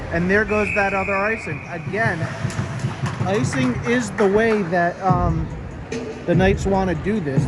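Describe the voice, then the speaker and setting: A hoarse man with a cold, calling hockey play-by-play in a brisk, matter-of-fact way. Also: high voice. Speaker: play-by-play commentator.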